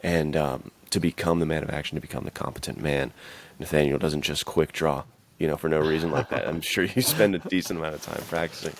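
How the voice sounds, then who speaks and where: A male podcast host with an exceptionally low, deep voice. He speaks conversationally and earnestly, thinking things through in an informal, reflective way. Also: steady tone, brisk, vocal fry, no room sound. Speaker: podcast host, adventure fiction author. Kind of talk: casual chat about writing and self-discipline.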